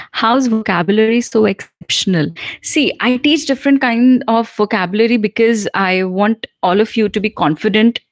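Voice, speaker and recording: A woman's voice: mid-range voice, dry recording. Chatty Indian woman answering viewers live. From central India. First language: Punjabi. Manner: chatty, answers viewers' comments with frequent fillers and laughs. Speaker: host and soft skills trainer. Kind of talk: live stream Q&A.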